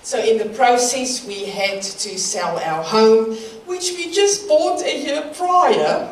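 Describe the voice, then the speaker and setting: A woman's voice: on the high side, takes it slowly, slight background noise. A middle-aged woman from South Africa with a South African accent, giving a slightly nervous church sermon with light laughs between phrases. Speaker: guest preacher. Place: church hall. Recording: roomy church hall from far off.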